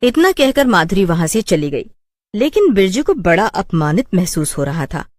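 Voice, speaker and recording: A woman's voice: mid-range voice, big pitch swings, normal speed, no room sound, clean studio sound. A woman narrator telling a scripted story in a storytelling style.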